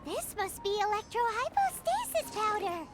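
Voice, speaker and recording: A little childlike fairy sidekick for a video game. The voice is squeaky and extremely high, bouncing around with excitable, cheeky emphasis. Loud music plays behind it. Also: female voice, moderate pace. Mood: eager. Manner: exclamatory, emphatic, refers to self in third person. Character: tiny excitable childlike female fairy companion, cheeky and nervous. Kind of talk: scripted video game voice acting.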